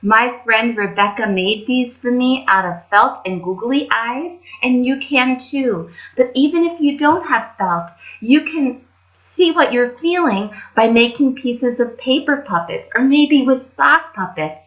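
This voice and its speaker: A woman's voice: fairly high pitch, animated, a little slow, narrow, tinny audio. A woman telling stories to children as a library storyteller, in a casual style.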